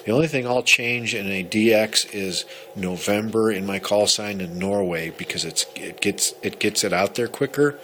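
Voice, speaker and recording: A man's voice: lowish voice, moderate pace, hardly any pauses, a bit bright. A man chatting casually as an amateur radio operator, explaining things and rambling as he thinks aloud. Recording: clearly noisy recording with ambient sound.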